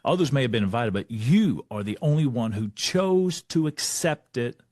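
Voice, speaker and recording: A man voicing an encouraging male Kenyan mentor in an attempted Kenyan accent, speaking slowly and earnestly. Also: medium pitch, animated, a little mellow, dry recording, clean studio sound, close to an everyday voice. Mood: reassuring.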